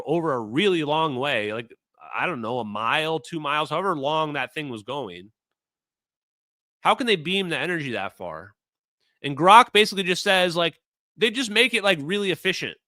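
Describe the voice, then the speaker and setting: A man's voice: medium pitch, sharp tone, no room sound. A man from Minnesota with a Minnesota accent, hosting a livestream and talking casually, rambling with fillers and repeated phrases. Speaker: streamer host.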